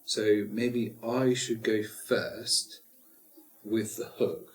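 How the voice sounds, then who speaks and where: A man's voice: fairly low pitch, fairly even intonation, extremely slow. A man chatting casually as a player in a tabletop game, thinking aloud and joking. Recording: roomy, echoey group recording.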